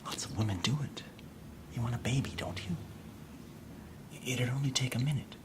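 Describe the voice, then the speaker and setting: An actor playing a furtive doctor in an audio drama, speaking in a clinical, coaxing, hushed manner. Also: male voice, mid-range voice, normal speed, noisy background, natural voice. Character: furtive, insinuating doctor offering illicit help. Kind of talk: understated audio drama acting.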